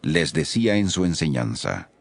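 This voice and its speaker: A man's voice: deep voice, sing-song, moderate pace, vocal fry, dry recording. A man narrating scripture from a script as the storyteller of an audio production.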